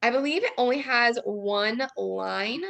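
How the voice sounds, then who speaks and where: A woman's voice: a little high, measured pace, vocal fry, fairly bright tone. A young woman, a podcast guest, explaining something informally. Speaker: guest speech coach and judge.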